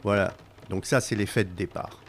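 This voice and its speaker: An earnest, slightly disorganised male facilitator in an audio drama played by a man. The voice is fairly low with marked creak, formal and procedural. There is faint background noise. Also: steady tone, moderate pace, natural voice. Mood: formal, neutral. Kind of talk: French audio drama dialogue.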